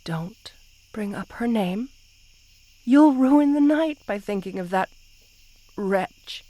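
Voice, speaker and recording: A woman's voice: mid-range voice, animated, mellow, poor audio. A woman playing a possessive, lovesick snake queen in an intimate roleplay ASMR, speaking tenderly with sighs. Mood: possessive, hushed. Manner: slow, tender, sighing, possessive delivery.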